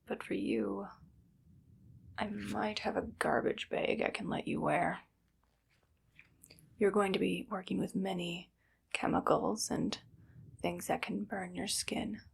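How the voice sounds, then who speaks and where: A woman playing a menacing, condescending evil doctor in a soft, whispered ASMR roleplay, speaking close to the mic with deliberate phrasing. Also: medium pitch, steady tone, vocal fry, breathy edge, full character voice. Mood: dry, dismissive. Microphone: close ASMR microphone.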